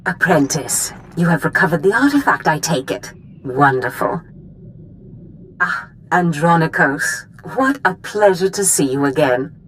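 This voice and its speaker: Dark-order master, low pitch, formal, condescending, haughty, video game, faint ambient noise. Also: female voice, expressive, unhurried, poor audio. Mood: pleased. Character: haughty, silky Sith master addressing her apprentice.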